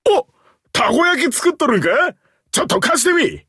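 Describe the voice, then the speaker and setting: A man's voice: very high voice, sing-song, moderate pace, sharp tone, no room sound, studio quality, heavily acted. An actor playing a hearty, easygoing middle-aged man with a Kansai accent in a mobile game. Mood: playful, flustered. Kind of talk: Japanese mobile game character acting.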